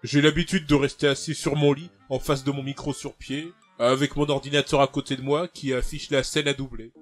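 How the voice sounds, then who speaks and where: A man who does fan dubbing, explaining things in a calm, matter-of-fact way. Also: mid-range voice, a little fast, soft background music. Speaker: fandub voice actor. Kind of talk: casual recorded answer.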